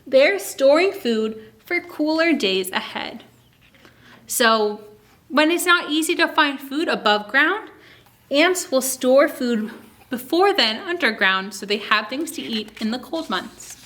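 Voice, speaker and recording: A woman hosting a children's story time, reading a picture book aloud expressively and pausing to ask young listeners questions and explain. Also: high voice. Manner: asks listeners questions, expressive teaching delivery.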